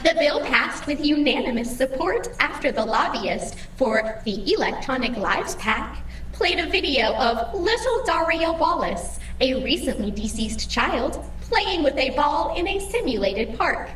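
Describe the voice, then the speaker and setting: Cheery afterlife computer lady, super formal and exact, high swoopy voice, roomy and a bit far off. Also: very animated, a little slow, fairly bright tone, slight background noise, heavily acted. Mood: chipper, informative. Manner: formal, over-precise, cheerfully bureaucratic. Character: chipper female computer host of a digital afterlife, oblivious and officious. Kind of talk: scripted audio comedy drama acting.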